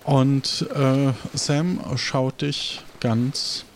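A man's voice: medium pitch, steady tone, very slow, slight background noise. A man hosting and narrating an improvised adventure podcast as game master, with a theatrical way of announcing.

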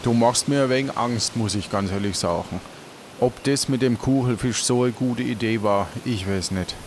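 A man's voice: medium pitch, normal speed, slight background noise. A man improvising an adventure audio play as one of its hosts, in casual, chatty dialogue. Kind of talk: improvised storytelling, casual in-character chat.

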